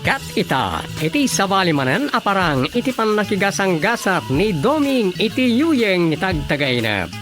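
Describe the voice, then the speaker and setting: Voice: medium pitch, big pitch swings, moderate pace. An announcer reading a scripted, dramatic introduction to a radio drama. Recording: music bed behind the voice.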